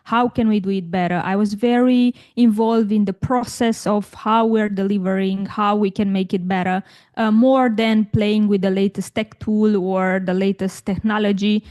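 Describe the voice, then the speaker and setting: A woman's voice: medium pitch, fairly even intonation, normal speed, darkish tone. A woman from Romania in her thirties, a guest on an interview podcast, answering thoughtfully with frequent restarts and fillers. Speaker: guest, tech career coach.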